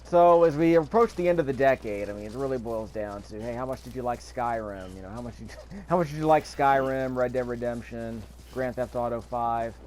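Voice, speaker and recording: A man's voice: mid-range voice, fairly quick, a little mellow. A man giving casual let's-play commentary, rambling and thinking aloud as he goes. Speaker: gameplay video host. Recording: clear background noise.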